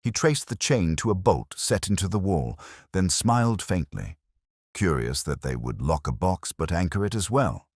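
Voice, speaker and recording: Very low male voice, solemn audiobook mystery narration, pitch moves around a lot, dry sound. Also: animated, normal speed, a little mellow, dry recording. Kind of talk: scripted mystery story narration in first person.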